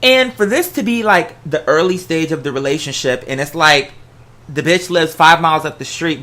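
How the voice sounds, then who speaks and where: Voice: mid-range voice, bright, forceful tone. A host giving casual relationship advice in a conversational, sassy way, with slangy, emphatic asides. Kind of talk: casual solo advice talk to listeners.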